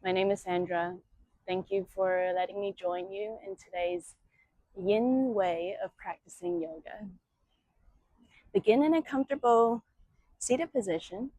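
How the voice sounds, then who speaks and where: Slow, soothing woman guiding meditation. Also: mid-range voice, dark tone, no echo, poor audio. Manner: soothing, measured, with long pauses between short phrases. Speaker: yoga teacher. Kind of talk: guided meditation and breathing instruction.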